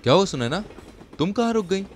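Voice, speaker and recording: Man playing an anxious, animated young passenger. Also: high voice, fast, a little hiss or hum. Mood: suspicious. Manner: anxious, stammers when frightened. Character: nervous, suspicious young male train passenger.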